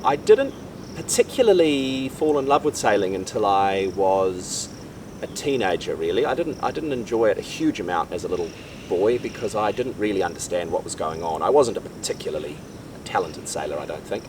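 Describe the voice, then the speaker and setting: Low-voiced Kiwi man, a bit off-mic. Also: big pitch swings, moderate pace, far from the mic, background noise. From New Zealand. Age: 30s. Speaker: sailing vlogger. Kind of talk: casual chat. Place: outdoors on a boat in Panama.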